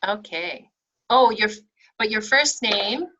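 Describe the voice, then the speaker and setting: A woman's voice: mid-range voice, lively intonation, slow pace, highs cut off, noisy background. A woman facilitating a group discussion, encouraging and affirming each person who shares. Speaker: meeting facilitator.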